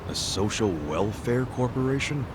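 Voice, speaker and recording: A man's voice: medium pitch, normal speed, background noise, close to an everyday voice. A man playing a blunt, scrappy street-tough hero in an English game dub, speaking informally and expressively. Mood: skeptical.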